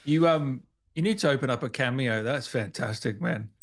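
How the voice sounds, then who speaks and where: A man with a mid-pitched voice acts as interviewer. He speaks in a casual, conversational style as the host of the chat. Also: moderate pace.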